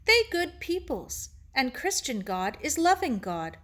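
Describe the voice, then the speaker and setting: A woman voicing a gentle, wise elderly Japanese man in a storybook, speaking in measured, spare phrases of broken English. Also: high voice, big pitch swings, voice pushed up, full character voice. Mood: gentle, consoling. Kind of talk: children's novel read aloud.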